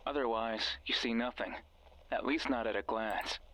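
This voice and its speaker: A man's voice: mid-range voice, moderate pace, fairly bright tone, natural voice, low-quality recording. An actor playing a manipulative, obsessive man's voice from a radio in a horror game, speaking with intimate, insistent, theatrical intensity. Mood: ominous narration. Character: manipulative, pleading male voice from a radio, obsessive and sinister. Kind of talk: dramatic horror game voice acting.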